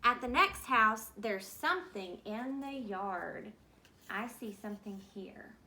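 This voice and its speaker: A woman teacher reading a picture book aloud to children, gently pointing out the pictures and explaining. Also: a little high, lively intonation, takes it slowly, slight background noise.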